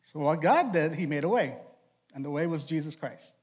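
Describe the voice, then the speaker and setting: A man's voice: a little high, lively intonation, husky. A young man from Trinidad with a Trinidadian accent touched by Boston, preaching a casual, conversational youth sermon as a ministry director. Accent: Trinidadian, with Boston influence. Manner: conversational, asks rhetorical questions, quick asides.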